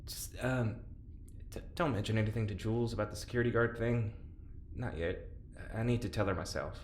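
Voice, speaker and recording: A man playing a guilt-ridden, stubborn prisoner in an audio drama, halting, blunt and naturalistic. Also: lowish voice, darkish tone, natural voice. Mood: weary, reflective. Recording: roomy echo, clear background noise.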